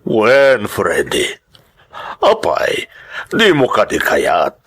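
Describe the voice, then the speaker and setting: A man playing a protective, authoritative older father and employer in an audio drama, firm and husky as he gives instructions. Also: lowish voice, wildly swooping pitch, extremely slow, fairly bright tone, full character voice. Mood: firm, concerned. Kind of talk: Ilocano audio drama acting.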